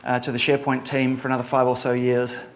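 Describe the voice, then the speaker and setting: A middle-aged man from New Zealand with a Kiwi accent, giving a relaxed conference talk with lots of ums and a dry sense of humor. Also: mid-range voice, fast talker. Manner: casual, many ums and uhs, dry humor. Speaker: conference presenter.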